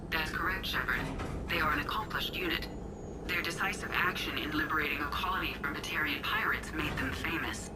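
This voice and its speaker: Actress as low, precise ship computer, loud music. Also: female voice, brisk, natural voice. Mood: informative. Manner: formal, precise. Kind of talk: video game cutscene acting.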